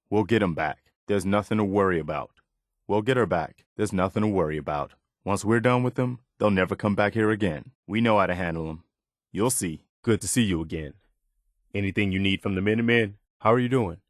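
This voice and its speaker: A man's voice: lowish voice, no room sound, studio quality, natural voice. An actor playing an earnest, dutiful militiaman in a post-apocalyptic video game, sincere, reassuring and plain-spoken. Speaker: video game companion character. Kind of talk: scripted game dialogue lines.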